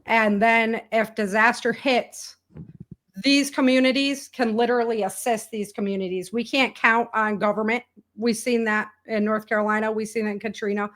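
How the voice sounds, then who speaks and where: A woman's voice: fairly high pitch, fairly even intonation, normal speed, fairly bright tone. Emphatic woman in casual, colloquial conversation. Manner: colloquial and emphatic, acts out quoted remarks. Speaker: interview guest, author and podcaster on survival prepping.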